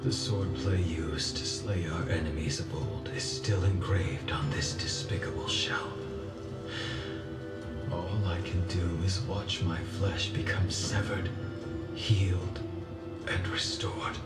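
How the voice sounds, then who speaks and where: Brooding undying swordsman, very low. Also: fairly even intonation. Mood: haunted, bitter. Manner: slow, grave, deliberate delivery. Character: brooding, tormented undying swordsman, bitter and haunted. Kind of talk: dramatic video game monologue. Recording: echoey, distant, under loud music.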